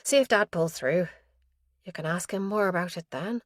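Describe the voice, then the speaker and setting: A woman narrating an audiobook thriller in a steady, measured way. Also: fairly low pitch, dry recording, studio quality. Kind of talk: scripted narration.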